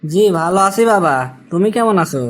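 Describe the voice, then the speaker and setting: Man acting high-voiced dutiful Bangladeshi migrant worker. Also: brisk. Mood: polite, obliging. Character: weary, dutiful young man working abroad. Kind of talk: cartoon dialogue.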